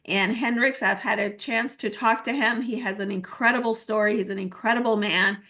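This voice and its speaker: A woman's voice: a little high, steady tone, normal speed, pushed and bright. Upbeat woman hosting a podcast. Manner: upbeat, enthusiastic introductions. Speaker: podcast host. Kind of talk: podcast introduction and interviewing.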